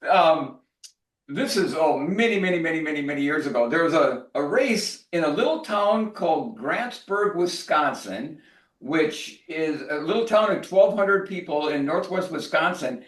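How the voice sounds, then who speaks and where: A man's voice: a little high, normal speed. A man co-hosting a podcast, telling an anecdote in a casual chat, laughing often as he goes. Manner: animated storyteller who laughs often. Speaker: podcast co-host, storyteller. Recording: roomy sound, distant from the mic.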